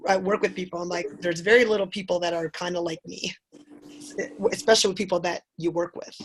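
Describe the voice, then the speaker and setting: A woman's voice: fairly low pitch, steady tone, fast, slight background noise, low-quality recording. A woman in her forties from Chicago, thinking aloud with frequent restarts in a casual group video call. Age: 40s.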